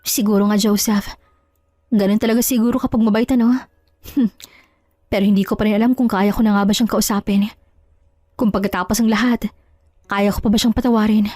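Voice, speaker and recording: A woman's voice: medium pitch, fairly even intonation, fairly quick, pauses often, warm tone, dry recording, natural voice. A woman playing a kind but wounded wife in an audio drama, speaking in a firm, questioning, emphatic way. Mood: reflective. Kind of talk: Tagalog audio drama acting.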